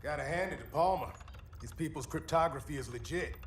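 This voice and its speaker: A man's voice: fairly high pitch, fairly quick, a little hiss or hum, natural voice. Man playing a swaggering cyborg tech hero. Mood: confident. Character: confident, mocking male half-machine tech hero. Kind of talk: video game cutscene acting.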